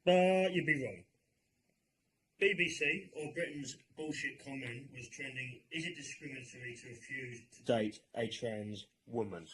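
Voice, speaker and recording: A man's voice: mid-range voice, normal speed, fairly bright tone, slight background noise, poor audio. A British man giving a mocking opinion rant as an online commentator, with a sarcastic, exasperated, informal manner.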